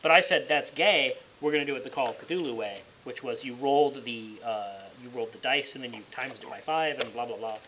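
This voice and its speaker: A man acting as game master, narrating casually and bantering with the players in a teasing, excitable way. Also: mid-range voice, fairly quick, hardly any pauses, a little hiss or hum, low-quality recording.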